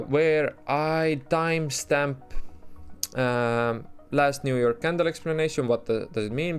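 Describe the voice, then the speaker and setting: A man's voice: mid-range voice, smooth. A man with an Estonian accent, talking in a loose, chatty way as he streams live and answers his chat, with many restarts and fillers. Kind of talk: casual live-stream commentary.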